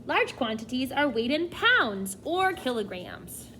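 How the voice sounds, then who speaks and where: A woman's voice: high voice, very animated, unhurried, bright, forceful tone, a little hiss or hum. A woman teacher reading a nonfiction book aloud to children, expressively, often addressing them directly with questions and asides.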